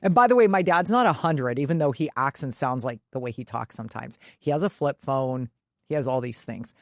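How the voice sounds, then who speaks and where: A woman's voice: rumbling low, animated, fairly quick, no echo. Opinionated woman talking sports, close dry sound. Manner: opinionated, emphatic; freely profane. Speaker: podcast co-host. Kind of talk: casual sports chat.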